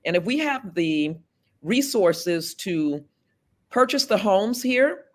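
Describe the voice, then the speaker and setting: A woman in her fifties from South Florida, running for office and answering an interviewer. She speaks earnestly and deliberately, with measured pauses between her thoughts. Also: medium pitch, expressive. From South Florida, USA. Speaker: political candidate.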